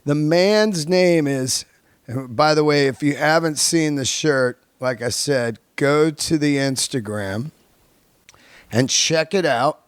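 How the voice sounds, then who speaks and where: A man's voice: fairly high pitch, takes it slowly, clear, resonant tone, no echo. A man in his early fifties hosting a podcast, chatting casually to his listeners in a laid-back, slangy, sweary way. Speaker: podcast host and stand-up comedian.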